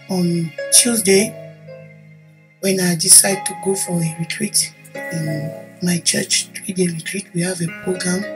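A woman from Cameroon, a native French speaker with a French-Cameroonian accent, telling a church testimony in earnest, halting English. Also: lowish voice. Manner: earnest storytelling with pauses and restarts. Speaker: testimony giver. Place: indoor room at home. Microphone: desk microphone in front.

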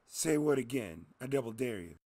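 A man's voice: mid-range voice, expressive, moderate pace, mellow. A man voicing a tough, bored, sarcastic woman pilot with a curt, bossy manner in an audio story. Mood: sardonic, bossy.

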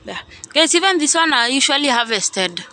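A woman's voice: sits high, very animated, moderate pace, pushed and bright. A woman explaining coffee picking in a plain, instructive way, outdoors on a farm.

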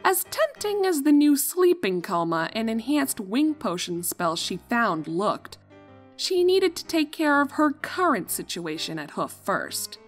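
A woman reading a scripted story as narrator, with a dramatic and expressive delivery. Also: a little high, measured pace, faint music behind.

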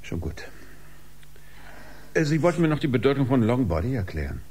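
An actor in a German science fiction radio drama playing a detached spaceship commander with a clipped, official, matter-of-fact manner. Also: male voice, mid-range voice, big pitch swings, fairly quick, darkish tone, slightly rough. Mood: calm.

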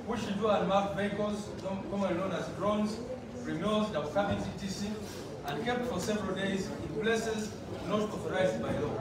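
Man, formal committee testimony, measured delivery, far from the mic, noisy hall echo. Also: sits high. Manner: measured reading, addressing the chair. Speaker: party representative presenting to a parliamentary committee. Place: large wood-panelled committee room. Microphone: far camera. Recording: echoey room recorded from afar with noise.

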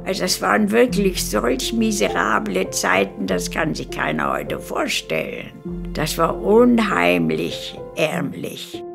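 A woman of about 100 from Königsberg in former East Prussia, reminiscing in an unhurried, halting way in a documentary interview. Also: fairly low pitch, gravelly. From Königsberg, East Prussia, Germany. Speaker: documentary interviewee, eyewitness recalling her life. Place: furnished living room, indoors.

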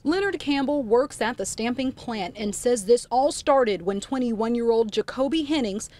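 A woman reporting for TV news outside a factory, in a clear, steady delivery. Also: a little high, moderate pace. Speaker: TV field reporter. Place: outdoors at a factory.

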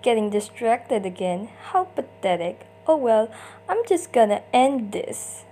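A woman's voice: a little high, very animated, unhurried, mellow, a little hiss or hum. A woman voicing a smug, mocking bully in an amateur comic dub, condescending and drawling. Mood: smug, contemptuous.

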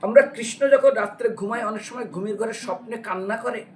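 A man's voice: sits high, fairly quick, darkish tone, slight background noise. A man preaching in a devotional storytelling style, explaining scripture and asking rhetorical questions as he goes. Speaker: religious preacher giving a devotional lecture. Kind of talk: devotional storytelling sermon with recited scripture verses. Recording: distant microphone with music behind.

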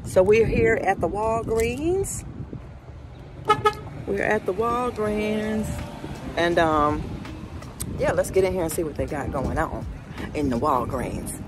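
A woman's voice: mid-range voice, slow pace, pauses often, background noise. A woman in her fifties chatting folksily to viewers on a handheld phone while shopping and sitting in her car. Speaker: vlogger. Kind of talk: casual shopping vlog. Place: store aisles and parked car. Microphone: handheld phone camera.

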